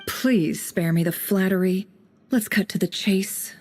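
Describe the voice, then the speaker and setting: A woman's voice: medium pitch, a little slow, darkish tone, soft background music, natural voice. An actress voicing a wry, composed adult female spymaster in a video game, speaking in a dry, confident, teasing way.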